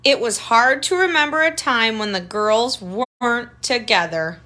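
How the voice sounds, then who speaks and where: Woman, bright clear-cut tone, unhurried pace, children's read-aloud, tutor's simple asides and questions, mid-range pitch. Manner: simple child-directed phrasing, pointing things out with questions and remarks. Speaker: tutor reading picture books aloud.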